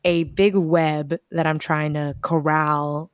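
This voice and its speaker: Smooth-voiced young woman, chatty interview guest. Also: low voice, slow, dry recording, studio quality. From United States. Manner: chatty, animated storytelling with quoted asides. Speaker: bookstore events director, guest. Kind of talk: casual interview over video call. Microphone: video call.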